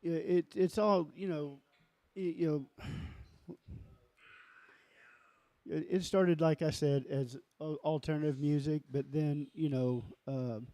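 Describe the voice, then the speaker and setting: A man with a lower voice talking casually as an interview guest, halting and often repeating himself. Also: fairly even intonation, dark tone, no echo. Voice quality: lower register voice. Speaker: musician and label founder guest.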